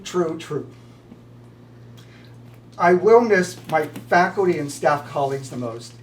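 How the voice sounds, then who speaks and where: An older man, a retiring college professor, giving prepared farewell remarks. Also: fairly high pitch. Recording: distant mic with slight background noise.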